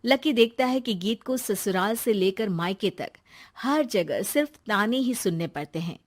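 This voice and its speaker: A woman's voice: medium pitch, expressive, measured pace. A woman narrating a scripted moral story as a storyteller.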